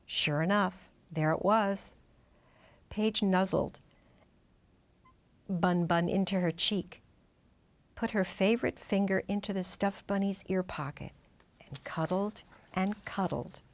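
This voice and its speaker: A woman reading a children's storybook aloud in expressive, dramatic narration, drawing out some words. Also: mid-range voice, slow, long pauses. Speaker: storybook reader.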